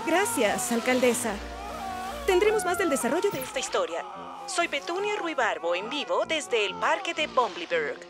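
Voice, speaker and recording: A woman's voice: sits high, sing-song, normal speed, loud music behind the voice. A woman voicing a female rhubarb television reporter in a Latin American Spanish cartoon dub, giving a news report. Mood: brisk, professional.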